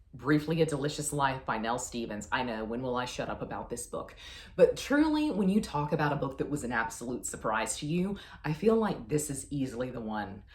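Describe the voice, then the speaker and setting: A woman giving a casual, emphatic book chat as a video host, with opinionated, self-questioning asides. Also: a bass voice. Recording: echoey, roomy sound.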